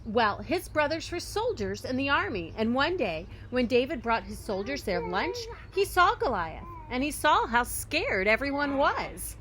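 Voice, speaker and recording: A woman reading a children's story aloud expressively, with dramatic emphasis, pauses and occasional hushed phrasing. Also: high voice, very animated, slight background noise. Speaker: storybook reader.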